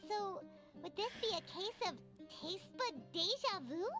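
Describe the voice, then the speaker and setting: Tiny fairy companion, very high squeaky voice, lively swoops, game music behind. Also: female voice, a little slow. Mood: curious. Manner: refers to herself by name, bubbly.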